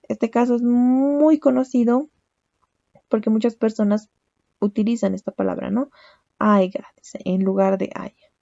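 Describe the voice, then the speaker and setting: A woman's voice: a little high, steady tone, measured pace, vocal fry, warm tone, no room sound, muffled recording. A young woman teaching a language lesson, explaining step by step and often checking with a questioning "¿no?". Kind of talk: recorded lecture.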